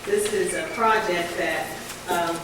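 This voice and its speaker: A woman delivers a public history talk with slides, conversational and full-voiced. She sounds distant, in a very reverberant room with steady background hiss. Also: takes it slowly. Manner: conversational, faith-infused, says she has a booming voice. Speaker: researcher giving a public talk. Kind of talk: lecture with slides. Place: large echoey community room. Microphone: handheld or stand mic, moving off it at times. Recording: distant, echoey, hissy room recording.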